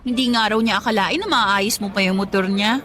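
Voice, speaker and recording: A woman's voice: medium pitch, brisk, a bit bright, faint music behind, natural voice. A woman playing a curious, teasing young woman in a radio drama. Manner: inquisitive, teasing.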